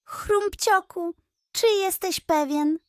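An excitable, pompous little animal mayor from a children's story, put on by a woman. The voice jumps high and proclaims everything with ceremony, on a dry, close recording. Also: slow pace, no room sound. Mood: astonished. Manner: exclamatory, proclaiming.

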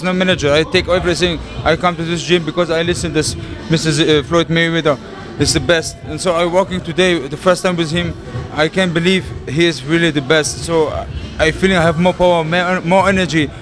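A man in his thirties from the Middle East with a German accent, a heavyweight boxer answering in earnest, broken English into a handheld mic in an indoor gym. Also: fairly high pitch. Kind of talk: interview answers. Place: large boxing gym, indoors. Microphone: handheld interview mic. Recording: clear background music. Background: music in the gym.

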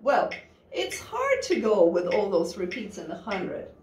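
A woman's voice: medium pitch, huge pitch swings, measured pace. A woman in her thirties teaching piano in a casual, chatty way, thinking aloud with fillers. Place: studio room with a grand piano. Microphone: distant camera mic. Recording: roomy, distant mic with piano.

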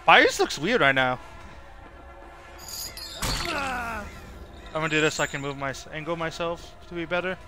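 A man's voice: a little high, animated, moderate pace, pauses often, music in the background. A man giving casual live gameplay commentary as a streamer, rambling and thinking aloud in a self-critical way. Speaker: game streamer.

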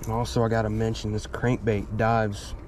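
A man's voice: fairly low pitch, normal speed. A young man casually talking through his fishing from a small boat on a backyard pond, filmed on a head-mounted action camera. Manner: relaxed, chatty, pointing out spots. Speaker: fishing vlogger. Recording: outdoor wind noise on action camera.

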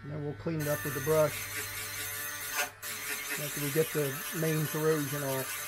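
A middle-aged man gives a casual hands-on tutorial as he works, thinking aloud in an unhurried way. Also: fairly high pitch, level tone, heavy background noise. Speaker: electronics repair hobbyist and host. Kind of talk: casual hands-on tutorial narration while working. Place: small indoor workbench.

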